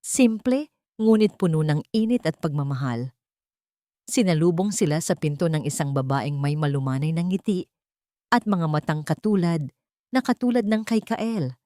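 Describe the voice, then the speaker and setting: A woman from the Philippines narrating a romance audiobook in a gentle, measured storytelling manner. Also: low voice, expressive, no echo, studio quality.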